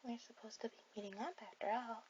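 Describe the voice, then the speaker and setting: A shy, bookish teenage anime girl, played by a woman, speaks in a high, gentle, hesitant voice as if alone with her date. It is a sweet, soft ASMR roleplay, recorded somewhat dull with a faint bed of hiss. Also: a little fast, close to an everyday voice. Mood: quietly excited. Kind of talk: ASMR romantic roleplay addressed to the listener. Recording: dull lo-fi audio with clear hiss.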